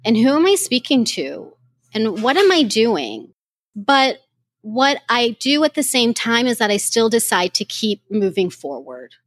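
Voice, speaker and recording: A woman's voice: a little high, measured pace, dry recording. Candid woman life coach, close dry sound. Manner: candid, reflective, animated. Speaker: life coach and podcast host. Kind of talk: live audio chat answering questions.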